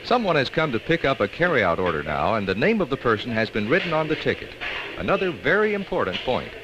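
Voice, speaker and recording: An American man reads scripted training film narration in an instructional way with measured emphasis, on an old 1960s film soundtrack. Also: medium pitch, huge pitch swings, dull audio, noisy background.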